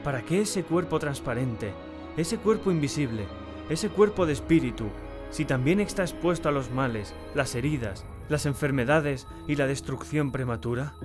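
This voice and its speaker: A man's voice: fairly high pitch, pitched above the performer's own voice, lightly acted. Man acting an obsessed, haunted storyteller over music. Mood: obsessive, despairing. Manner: intense, swinging from hushed dread to frantic cries. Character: tall, terrified, obsessed man confessing a desperate act. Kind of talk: dramatic first-person audiobook narration.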